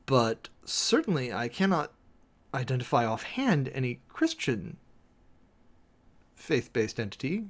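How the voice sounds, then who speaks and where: Theatrical, deliberate man in preacherly delivery. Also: medium pitch, very animated. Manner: theatrical, deliberate, formal, sermon-like delivery with emphatic pauses. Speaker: preacher and commentator hosting a show. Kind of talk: dramatic reading and close-reading commentary of a legal document.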